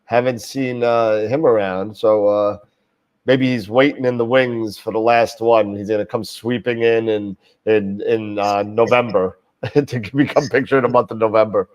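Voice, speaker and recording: Casual male host, low voice, halting delivery, nursing a cold, mic set back. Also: fairly even intonation, a little mellow, recorded from a distance. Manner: halting, many fillers and restarts. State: getting over a cold, sniffling. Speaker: host of astronomy live show. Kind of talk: casual live-stream chat.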